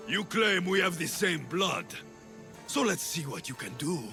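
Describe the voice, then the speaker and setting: An actor playing an arrogant, threatening young warrior of a secret cult in a video game, with a slow, taunting delivery. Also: male voice, sits high, expressive, over music, full character voice. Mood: cold, menacing.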